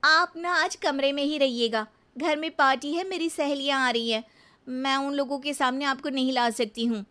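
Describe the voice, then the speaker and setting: A woman's voice: high voice, normal speed, pushed and bright, voice pushed up. A woman voicing a vain, rude young city wife in a cartoon story, with a haughty, whiny manner. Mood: dismissive.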